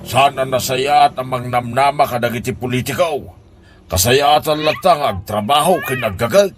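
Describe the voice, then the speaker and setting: A man's voice: medium pitch, moderate pace, a little hiss or hum, lightly acted. A man playing a nagging, concerned older uncle in a comedy radio drama. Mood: exasperated. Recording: music under the voice. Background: background music.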